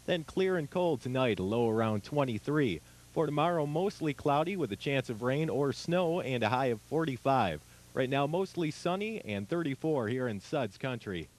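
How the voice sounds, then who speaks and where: A young man working as a radio DJ, reading the weather and introducing songs in a brisk announcer style in a small studio on a boom mic. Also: lively intonation, slight background noise, low-quality recording. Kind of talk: reading weather and station IDs. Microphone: boom mic with headphones.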